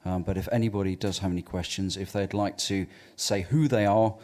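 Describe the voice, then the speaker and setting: A man moderating a conference panel, politely opening the floor to questions, halting with fillers. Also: deep voice, fairly even intonation, darkish tone. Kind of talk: chairing a question session. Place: large conference auditorium.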